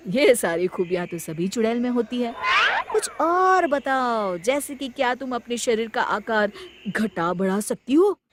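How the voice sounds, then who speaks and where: A woman's voice: a little high, big pitch swings, a little slow, very noisy. A woman voicing a cunning, confident village man in a storybook tale, speaking persuasively. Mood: challenging.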